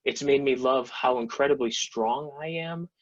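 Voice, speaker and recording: A man from Massachusetts speaks earnestly and from the heart. His voice sits at an ordinary pitch for a man. The sound is dull and lo-fi. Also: normal speed. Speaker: guest speaker. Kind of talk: personal testimony closing.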